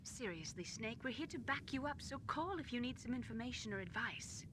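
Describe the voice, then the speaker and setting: Woman acting female army doctor, fairly high voice, composed, professional, teasing, video game, clear rumble noise. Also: lively intonation, brisk, close to an everyday voice. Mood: serious. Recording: clearly noisy game audio with low rumble.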